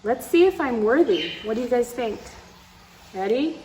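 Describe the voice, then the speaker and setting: A woman's voice: fairly high pitch, lively intonation, normal speed, a little mellow, a little hiss or hum, rough recording. A woman reading a picture book aloud to children, with playful asides and dramatic emphasis, speaking straight to her young listeners.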